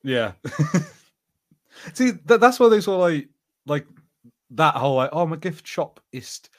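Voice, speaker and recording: A man's voice: on the high side, sing-song, takes it slowly. A man co-hosting a podcast in casual banter, with an easy, agreeable manner. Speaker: podcast co-host.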